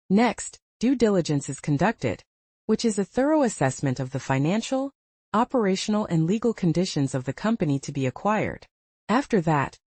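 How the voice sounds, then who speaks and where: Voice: mid-range voice, darkish tone, dry recording. A presenter reading scripted educational narration in a formal, read-aloud manner.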